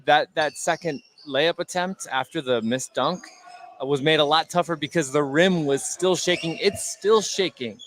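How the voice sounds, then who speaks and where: Higher-pitched male basketball color commentator. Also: normal speed, fairly bright tone, slight background noise. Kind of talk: conversational live sports analysis. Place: indoor sports gym.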